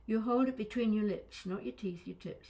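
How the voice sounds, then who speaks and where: A woman's voice: medium pitch, normal speed, creaky, warm tone. A woman coaching vocal exercises in a conversational, instructional way, stressing and stretching key words. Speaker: voice and accent coach.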